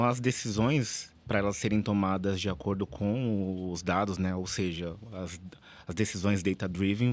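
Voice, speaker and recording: Low-voiced man explaining as a podcast guest. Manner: measured, tag questions and fillers. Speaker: guest, data team lead. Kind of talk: podcast interview answer.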